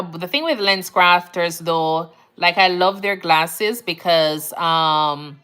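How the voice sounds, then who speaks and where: A woman from Jamaica with a Jamaican accent, chatting casually on a livestream and reading out viewer comments. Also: fairly low pitch. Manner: relaxed, chatty, laughs easily, Jamaican phrasing. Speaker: nurse livestream host.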